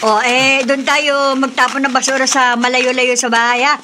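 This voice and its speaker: A woman's voice: high voice, flat delivery, normal speed, background noise, lightly acted. An actress playing a kindly household woman in a Filipino horror radio drama, homely and exclamatory, with a Filipino accent. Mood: kindly briskness.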